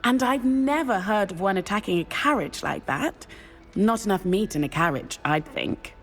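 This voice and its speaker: Woman doing chatty daredevil british witch girl, swoopy lively voice, light music behind. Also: mid-range voice, moderate pace, natural voice. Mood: chatty, amused. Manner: chatty, exclamatory, playful. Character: bold, breezy, thrill-seeking teenage witch schoolgirl. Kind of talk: scripted video game dialogue.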